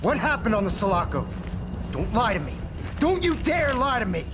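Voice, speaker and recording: High-pitched, hot-tempered marine. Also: male voice, very animated, normal speed, over music. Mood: angry, accusing. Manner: accusing, demanding. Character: suspicious, hot-tempered male marine squadmate. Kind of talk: video game cinematic acting.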